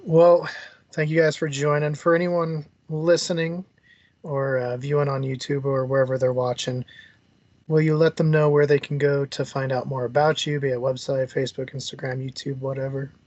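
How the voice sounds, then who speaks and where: A man's voice: medium pitch, little pitch movement, normal speed, darkish tone, muffled recording. A man hosting an interview in a casual, conversational style. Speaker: interview host.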